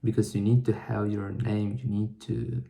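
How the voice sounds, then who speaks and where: A young man muses slowly to camera, stopping and restarting as he works through his thoughts. His voice is mellow and gravelly, with a low pitch. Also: level tone. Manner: halting, thinking aloud with many restarts. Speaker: vlogger. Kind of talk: reflective solo vlog monologue.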